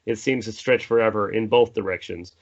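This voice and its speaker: A man's voice: lowish voice, fairly even intonation, fairly quick, gravelly, muffled recording. A man reading a screenplay's stage directions as dramatic, descriptive scripted narration.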